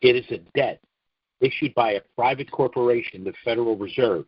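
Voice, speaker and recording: Male American call host, measured halting monologue, dry and slightly lo-fi sound. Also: mid-range voice, no echo. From United States. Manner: deliberate, halting, frequent throat-clearing. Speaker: conference call host promoting trust services. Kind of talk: informal monologue on a conference call. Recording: dull, dry conference call audio.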